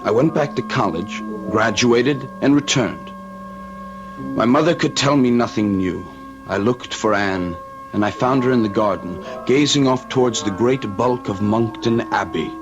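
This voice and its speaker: A man's voice: lowish voice, unhurried, lightly acted. An actor in an old radio drama playing a loyal, concerned young man who narrates and converses earnestly. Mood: somber narrating. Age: young adult. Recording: muffled old radio recording with music.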